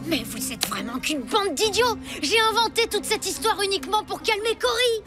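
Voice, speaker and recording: A woman voicing an earnest, caring young prehistoric boy in a cartoon, with an expressive, emphatic delivery. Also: very high voice, moderate pace, over music. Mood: scornful. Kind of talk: French cartoon dub acting.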